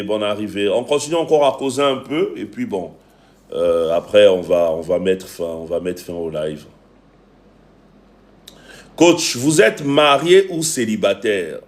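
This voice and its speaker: A man with a Cameroonian accent coaching viewers on a livestream, preaching emphatically and repeating phrases for effect. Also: medium pitch. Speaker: relationship coach and live stream host. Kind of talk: preachy livestream talk to viewers, greeting commenters.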